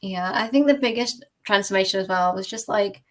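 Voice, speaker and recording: British female podcast guest, rapid informal delivery, personal storytelling. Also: medium pitch. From United Kingdom. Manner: informal, frequent "like", acts out her own thoughts. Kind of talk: personal testimony in casual conversation.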